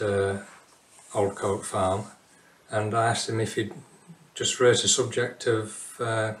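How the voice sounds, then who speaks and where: A conservation officer speaks in a low, flat voice, hesitating with frequent fillers. His interview has a roomy echo around it. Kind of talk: documentary interview.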